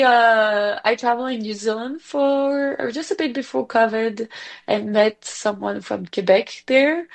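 Belgian-accented French-speaking woman, gravelly. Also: mid-range voice. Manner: chatty, many fillers and restarts. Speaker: executive director, guest. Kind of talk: casual interview chat.

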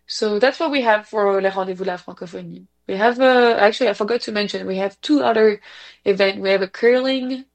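A woman with a Belgian French accent speaks casually as a guest in an interview. Her voice has a lot of creak in it. Her phrasing is chatty and a little hesitant, and she corrects herself as she goes. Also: medium pitch, fairly quick. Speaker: community organization representative, interviewee.

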